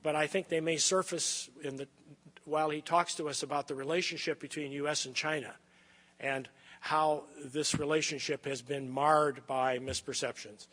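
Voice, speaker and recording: A man hosting an event, giving an informal introduction of a speaker in a halting, hedging manner. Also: fairly high pitch, steady tone, fairly bright tone. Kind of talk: informal spoken introduction at a lecture. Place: large indoor lecture hall. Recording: lecture hall, long reverb.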